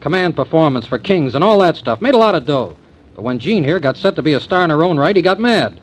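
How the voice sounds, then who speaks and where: An actor playing a defensive booking agent in a 1940s radio drama, talking quickly and evasively. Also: male voice, on the high side, sing-song, rough recording. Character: slick male booking agent, defensive and protective.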